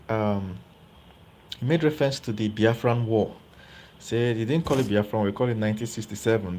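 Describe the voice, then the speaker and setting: A man's voice: on the low side, a little mellow, a little hiss or hum. A man giving live political commentary as host, chatty and often checking in with viewers. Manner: conversational, asks viewers rhetorical questions.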